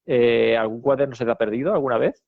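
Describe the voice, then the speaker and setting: A man with a gravelly, creaky voice, recorded dry and slightly dull. As interview host he asks long, meandering questions, loaded with fillers and checks of "right?". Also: mid-range voice, fairly quick, no echo. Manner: rambling questions with fillers and tag questions. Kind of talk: interview conversation.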